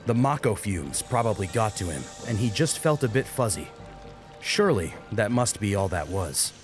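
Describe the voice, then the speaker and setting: Casting: male audiobook narrator, low and lively, dramatic scripted delivery over a music bed. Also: normal speed.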